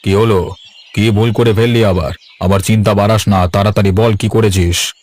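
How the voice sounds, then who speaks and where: Man playing a low-voiced, jumpy village master. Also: fairly even intonation, normal speed, darkish tone, natural voice. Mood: impatient, worried. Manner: stammering, demanding, repeats questions. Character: guilty, suspicious village master, jumpy yet defiant. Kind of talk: animated horror story acting.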